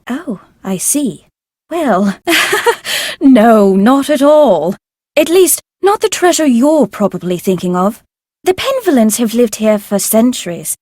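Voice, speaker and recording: Prim tutor, high-pitched and expressive. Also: female voice, no room sound, clean studio sound. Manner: prim, proper, measured, veiled warnings. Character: formal, secretive woman tutor at an old manor. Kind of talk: scripted video game voice acting.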